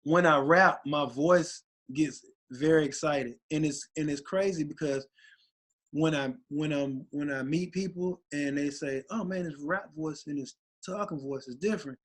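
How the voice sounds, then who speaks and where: A man, a rapper guest, talking casually and excitedly in an interview, rambling with many restarts. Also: on the high side, measured pace. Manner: animated, rambling, voice gets raspy when excited.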